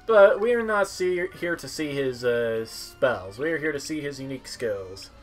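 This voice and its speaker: A young man talks through a game in a casual, informal way. His voice has an average pitch, and his delivery rambles with a wry edge. Game music plays behind him. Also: lively intonation, moderate pace. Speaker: gameplay video commentator. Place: indoor room at home.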